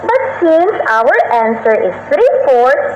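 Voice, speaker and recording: A woman's voice: high voice, sing-song, slow pace, slight background noise, exaggerated voice. A Filipino woman playing a patient young pupil who carefully tutors classmates in an educational radio drama. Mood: patient, instructive. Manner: careful, instructive, repeating key points. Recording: dull radio audio, echoey, music behind.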